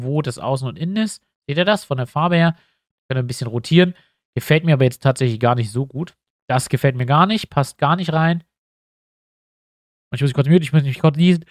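A man's voice: medium pitch, no room sound, studio quality. A man with a stuffy nose, chatting casually to his viewers as he thinks aloud through building a map in a tutorial. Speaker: YouTuber building a tabletop map. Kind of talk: casual screen-recorded tutorial.

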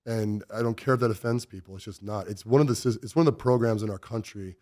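A man's voice: on the low side, fast, a little mellow. A man in his forties with an American accent, talking bluntly and emphatically as an interview guest, close on a studio mic. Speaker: podcast guest, business owner. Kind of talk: conversational interview. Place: indoor podcast studio. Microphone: close studio mic on boom arm.

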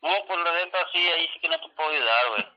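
Over a dull telephone line, a man speaks in a somewhat high voice with a northern Mexican accent. His talk is casual and slangy. He hedges and sidesteps rather than answering straight. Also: quick pace. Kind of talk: casual slangy phone conversation. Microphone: telephone call.

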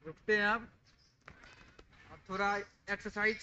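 A young man from India with a high, very bright and forceful voice talks playfully to his viewers outside in a park. The sound is dull and lo-fi from a handheld phone, with faint noise in the open air behind him. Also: little pitch movement, unhurried. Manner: chatty, playful, addresses viewers as friends. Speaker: vlogger. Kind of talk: casual vlog chat. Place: outdoor park with gym equipment. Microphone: handheld phone camera, moving around.

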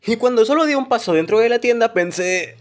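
Male narrator for a cartoon story dub: high voice, very lively intonation, comic exaggeration. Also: brisk. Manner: expressive, exaggerated comic delivery. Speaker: narrator of a fan-dubbed animated story video. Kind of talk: animated comedic storytelling narration.

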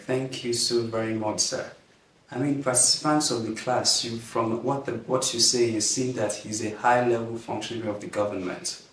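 A man in his thirties leads an online class in an earnest, gracious way, stretching words for emphasis. His voice sits fairly low. The recording is echoey and a bit distant, with faint noise. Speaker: class instructor. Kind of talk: online class leadership teaching. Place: plain indoor studio backdrop.

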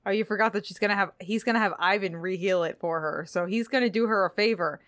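A woman's voice: medium pitch, fast talker, fairly bright tone, dry recording, studio quality. A woman co-hosting a podcast, chatting casually and playfully about books. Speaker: podcast co-host. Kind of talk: casual, playful book discussion.

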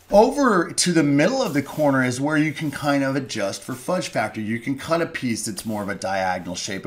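A middle-aged man giving a folksy, plainspoken carpentry lesson, with restarts and self-corrections, recorded at a desk mic indoors. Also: mid-range voice. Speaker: lumber company presenter. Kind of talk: instructional voice-over.

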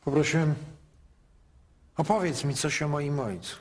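A male actor playing a grown son in a radio drama, speaking in a measured, heavy, quietly pleading way. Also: on the high side, lively intonation. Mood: weary, pleading. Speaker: son narrating his story.

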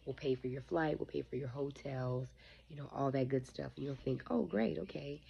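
A woman's voice: very deep voice, normal speed, vocal fry, breathy edge, highs cut off. A woman in her forties with a stuffy, sniffly voice, bluntly warning her viewers about a company while talking into a phone held close. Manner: blunt, indignant, addresses viewers directly. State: congested, sniffling. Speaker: vlogger. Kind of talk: casual talk to camera.

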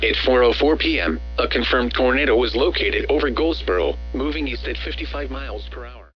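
A man's voice: medium pitch, highs cut off, soft background music. Automated male weather radio announcer. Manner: measured, formal, automated delivery. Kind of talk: scripted emergency weather bulletin.

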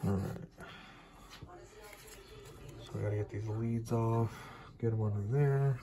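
A man's voice: fairly low pitch, steady tone, a little slow, crowd noise. A man working as a heating technician, talking himself through a furnace repair in a laid-back, muttering way, recorded on a phone in a cramped closet. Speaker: HVAC technician. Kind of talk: hands-on repair walkthrough. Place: cramped mobile home furnace closet, indoors.

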